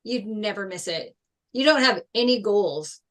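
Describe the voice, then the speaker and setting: A woman's voice: mid-range voice, expressive, unhurried, a bit bright. A woman playing an indignant teenage boy wrestler in a script table read, blunt and confrontational. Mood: angry, defensive.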